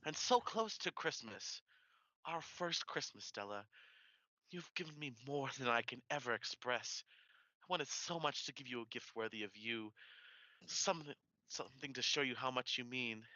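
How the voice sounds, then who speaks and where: A man's voice: mid-range voice, animated, moderate pace, pushed and bright, no echo, highs cut off. A young man playing a devoted, worried newlywed husband in a period radio play, speaking earnestly and tenderly. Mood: troubled. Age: young adult.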